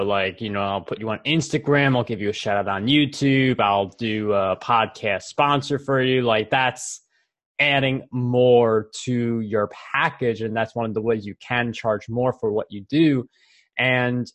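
Male interview host, medium pitch, casual and conversational.

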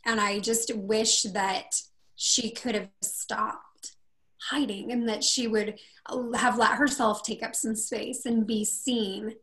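A husky-voiced woman talks openly as a podcast guest, telling her stories with lively expression. Her voice sits a little high for a woman. She speaks in a relaxed, conversational way. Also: slow. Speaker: podcast guest, confidence coach. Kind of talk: casual interview conversation.

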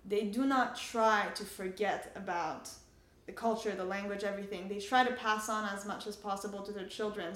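A young woman who grew up in Canada and France with Farsi as her first language, answering interview questions in an articulate, conversational way. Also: medium pitch. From Canada and France, Iranian family. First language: Persian (Farsi). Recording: echoey room.

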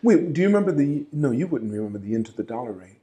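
A man's voice: mid-range voice, big pitch swings, very fast, warm tone. A man interviewing a guest in a casual talk, prompting with questions and finishing the guest's sentences.

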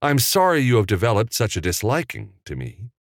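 An earnest young job seeker voiced by a male narrator from an audiobook. He is deferential but gutsy, pitched up from a low register and recorded close and dry. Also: sing-song, unhurried, no room sound. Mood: politely defiant.

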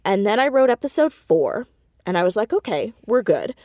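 A woman's voice: mid-range voice, sing-song, normal speed, warm tone, no echo, studio quality. A woman chatting casually and expressively as an interview guest, a creative who talks about her own work with self-mocking asides. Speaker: audio drama creator and voice actor, interview guest. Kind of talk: casual, animated interview chat.

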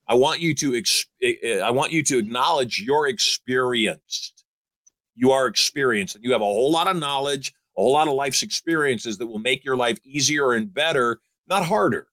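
A man's voice: medium pitch, animated, moderate pace, fairly bright tone, dry recording. A man in his sixties giving upbeat, emphatic advice as a show host. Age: 60s. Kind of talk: motivational call-in advice.

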